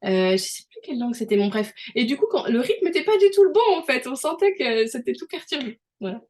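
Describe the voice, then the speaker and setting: A woman's voice: high voice, very animated, fast talker, darkish tone, a little hiss or hum. A middle-aged woman from France talking casually in an interview, chatty and full of restarts as she explains with examples. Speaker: host.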